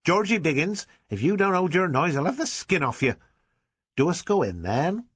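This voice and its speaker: A man voicing a rough-spoken country mother, scolding in broad rustic dialect. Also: on the high side, very animated, moderate pace, no room sound, pitched above the performer's own voice.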